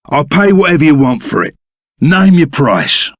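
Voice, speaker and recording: Casting: a gruff, loyal Cockney rogue for a game, pitched fairly high with gravelly creak, blunt and slangy. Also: male voice, big pitch swings, moderate pace, dry recording, studio quality. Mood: earnest, urgent. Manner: rough colloquial slang, blunt and emphatic. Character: gruff, loyal reformed bandit sidekick, plain-spoken and excitable. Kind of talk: video game character voice acting.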